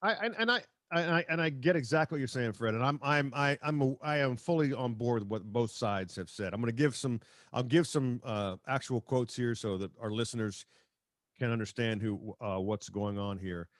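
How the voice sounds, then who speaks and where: A man hosting a sports talk show, speaking conversationally and haltingly as he comments and reads out quotes. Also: mid-range voice, fairly bright tone, no room sound, studio quality.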